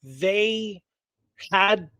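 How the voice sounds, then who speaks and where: A man with a slightly higher-than-average voice talks as a radio show host. He tells a historical case informally, with slangy wording and repeated emphasis. The sound is dry, with little room echo. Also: lively intonation, extremely slow, pushed and bright. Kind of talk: casual talk retelling a historical case.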